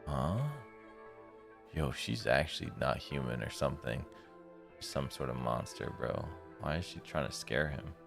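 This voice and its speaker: A man with a very deep, gravelly voice giving casual, slangy reaction commentary as a streamer. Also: a little slow, over music. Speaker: streamer playing a visual novel. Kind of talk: casual reaction commentary between read-aloud passages.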